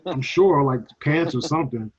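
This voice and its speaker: A man's voice: on the high side, normal speed, a loud crowd. A man chatting casually on a podcast in a laid-back, informal way. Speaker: podcast cast member. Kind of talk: casual chat. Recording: dull lo-fi audio.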